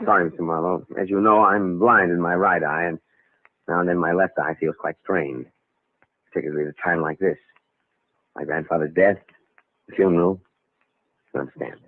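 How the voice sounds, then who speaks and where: A low-voiced scheming radio impostor. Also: male voice, fairly even intonation, moderate pace, pauses often. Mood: guarded politeness. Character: scheming male impostor heir, calculating and nervy, narrating his crime. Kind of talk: old-time radio thriller acting and first-person narration. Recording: vintage radio recording, narrow tinny band, music.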